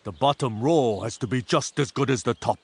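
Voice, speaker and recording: A principled Japanese immigrant farmer, voiced by a man narrator, instructs in a mid-pitched voice. He is measured and firm, handing down sayings in an audio play. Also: husky. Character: stubbornly honest Japanese immigrant farmer father.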